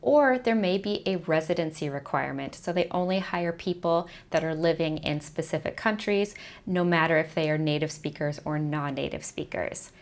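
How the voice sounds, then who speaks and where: Woman, young adult, lower register, clear list-like explainer for an advice video. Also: normal speed, hardly any pauses. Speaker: online teaching coach. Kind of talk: scripted advice video to camera.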